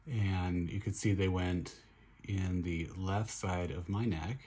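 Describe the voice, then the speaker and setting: A middle-aged man with a hoarse voice, chatting casually in a video diary, upbeat and relaxed with many fillers and restarts. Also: deep voice, slow, a little hiss or hum. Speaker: vlogger recovering from surgery.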